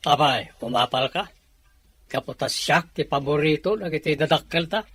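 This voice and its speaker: A man's voice: fairly high pitch, normal speed, fairly bright tone, breathy edge. A man playing a spoiled, defiant younger sibling, argumentative and dismissive, in an audio drama.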